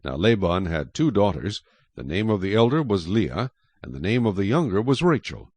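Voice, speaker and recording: Guy narrating bible story, lowish voice, really animated storyteller delivery, dry booth sound. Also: normal speed, slightly rough, no room sound. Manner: storytelling, expressive. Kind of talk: dramatic scripture narration.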